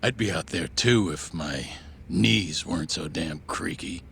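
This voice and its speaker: A man playing a gruff but spirited older man with a gravelly voice in a game cutscene. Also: low-pitched, measured pace, a bit bright, a little hiss or hum, exaggerated voice. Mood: invigorated. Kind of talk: video game cutscene acting.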